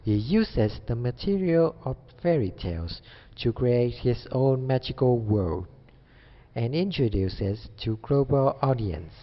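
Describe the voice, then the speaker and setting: A man's voice: mid-range voice, expressive, measured pace. A young man delivering a scripted student presentation. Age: young adult. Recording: dull audio with faint background noise.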